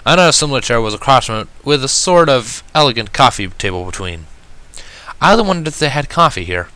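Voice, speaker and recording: A man reading fan fiction aloud as expressive first-person story narration. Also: medium pitch, fast talker, clear, resonant tone, no echo.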